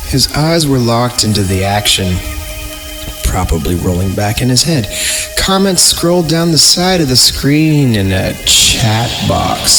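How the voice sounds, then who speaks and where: A man hosting a horror podcast, reading stories aloud and breaking off for sarcastic, improvised jokes, with music behind him. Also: fairly low pitch. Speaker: podcast host and reader. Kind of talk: creepypasta reading with joking asides.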